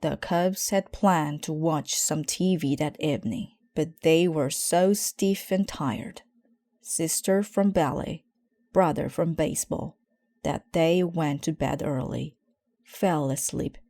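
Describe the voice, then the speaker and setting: A woman reading a children's story aloud in a measured, expressive way. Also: deep voice, dry recording. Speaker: storybook reader.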